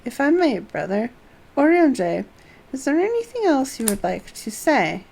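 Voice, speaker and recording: A woman acts a self-assured young fantasy noblewoman. The voice is lifted in pitch, pointed and probing, with formal archaic wording delivered unhurriedly. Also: big pitch swings, unhurried, gravelly, darkish tone, heavily acted. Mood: probing, assertive.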